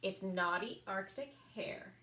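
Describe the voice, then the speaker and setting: A woman reading picture books aloud for a children's story time, expressive and sing-song, with emphasized words. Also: medium pitch, slow. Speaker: library story time reader.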